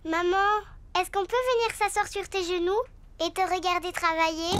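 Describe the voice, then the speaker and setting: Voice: very high voice, fairly even intonation, normal speed, fairly bright tone, slight background noise. Une interprète de dessin animé qui joue une petite cochonne enjouée, un peu autoritaire et enfantine. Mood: eager. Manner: playful, childlike. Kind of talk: French cartoon dubbing.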